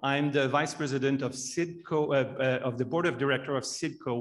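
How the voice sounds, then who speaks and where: French Canadian man introducing himself. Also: medium pitch. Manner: halting, many ums and uhs. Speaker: panelist. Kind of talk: self-introduction on a panel. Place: conference room with large window. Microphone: handheld mic.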